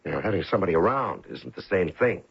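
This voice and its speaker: A cold, domineering hitman in a radio play, his voice extremely low and husky. He speaks curtly and bluntly, with gravel in every phrase, on a dull old recording. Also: very animated, fast talker, no room sound, exaggerated voice. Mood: dismissive. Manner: curt, blunt. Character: cold, domineering male professional killer. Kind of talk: old-time radio drama acting.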